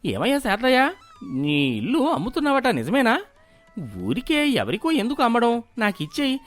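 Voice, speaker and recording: A man voicing an excitable, gullible village merchant in a cartoon moral story, exclaiming in an exaggerated way. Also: very high-pitched, moderate pace, soft background music, heavily acted. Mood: eager, delighted.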